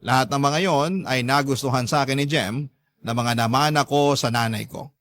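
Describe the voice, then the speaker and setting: A man's voice: mid-range voice, steady tone, moderate pace, fairly bright tone, dry recording, clean studio sound. A man narrating a dramatic first-person story in a confiding, earnest manner.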